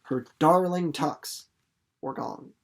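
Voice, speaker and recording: A man's voice: a little high, takes it slowly, creaky. Playful, folksy man reading and explaining. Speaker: teacher reading a novel aloud and explaining it. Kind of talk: expressive storybook reading and casual explanation.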